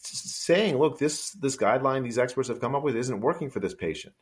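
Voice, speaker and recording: A middle-aged man hosting a podcast interview, talking in a halting way with many restarts. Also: medium pitch, expressive. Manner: halting, full of restarts and fillers. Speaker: podcast host, professor. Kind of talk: conversational interview.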